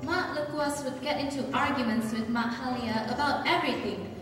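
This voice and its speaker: A young woman with a fairly high voice narrates a stage pantomime in a theatrical storytelling manner. She is heard from far off in a very echoey auditorium, with music playing clearly behind her. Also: normal speed. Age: young adult. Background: loud music.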